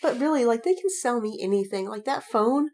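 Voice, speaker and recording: A woman podcast co-host chatting casually and telling animated anecdotes, giggly and acting out reactions as she retells them. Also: fairly high pitch, fairly quick, slight background noise.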